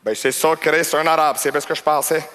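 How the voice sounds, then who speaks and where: A man voicing a gruff, pushy Quebecois customer with a Quebec French accent, blunt and swearing in a stand-up routine. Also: a little high, level tone, brisk, fairly bright tone, long reverb tail, voice pushed down, lightly acted. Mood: suspicious.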